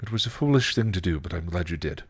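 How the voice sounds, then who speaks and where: A man's voice: low voice, animated, fast, husky, full character voice. A stern, exasperated protector in a storybook reading, acted out by a man. He speaks in a firm, formal way, scolding and emphatic, with principled patience wearing thin. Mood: exasperated, worried.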